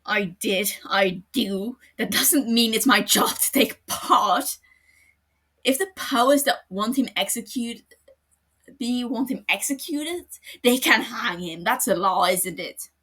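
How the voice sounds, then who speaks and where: Woman reading an angry cop guy, slow and loud, breathy voice, scornful. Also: on the high side, voice pushed up, heavily acted. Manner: furious, scornful, shouting. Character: angry blunt adult male detective. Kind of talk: podfic character reading.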